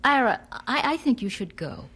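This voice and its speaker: An actress playing a supportive 19th-century wife, gentle, knowing and breathy, in a radio drama. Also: female voice, fairly high pitch, sing-song, normal speed, full character voice. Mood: tender, teasing.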